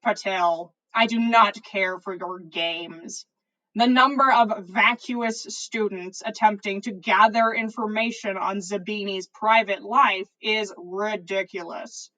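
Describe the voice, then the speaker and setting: A stern male wizard professor from a storybook, acted out by a woman. He speaks slowly and coldly, with open contempt. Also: mid-range voice, fairly even intonation, sharp tone, low-quality recording. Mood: contemptuous. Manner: slow, cold, disdainful.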